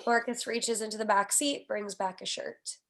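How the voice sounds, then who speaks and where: A woman narrates a screenplay at a table read, reading out the stage directions. Her voice sits at a medium pitch for a woman, in a scripted narration style. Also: steady tone, moderate pace, fairly bright tone.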